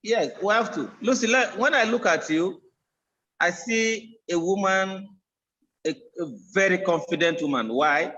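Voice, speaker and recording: A man's voice: sits high, unhurried, sharp tone. A man hosting a talk show, preachy and encouraging, asking rhetorical questions. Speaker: host. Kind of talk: casual talk show chat. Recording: dull lo-fi sound.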